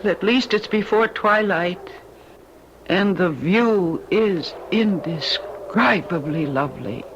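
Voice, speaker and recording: An actress playing an earnest, compassionate young woman in an old-time radio drama, speaking in a measured, dramatic way. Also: lowish voice. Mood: gentle, wistful. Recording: noisy, dull old radio recording.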